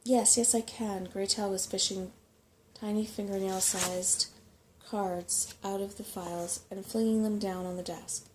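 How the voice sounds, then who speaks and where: A soft-spoken woman reading a children's book aloud as an ASMR bedtime story. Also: medium pitch, flat delivery, unhurried, background noise.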